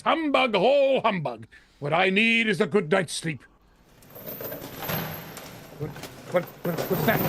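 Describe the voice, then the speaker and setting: A man's voice: high-pitched, creaky, very noisy, full character voice. A man playing a grumpy, bullying old miser in an old-time radio drama, barking and grumbling, easily rattled into stammering. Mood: rattled, fearful.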